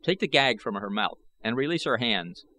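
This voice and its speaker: Man as an imperious Martian king, lively pitch. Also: moderate pace, a little hiss or hum. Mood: commanding. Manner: commanding, formal.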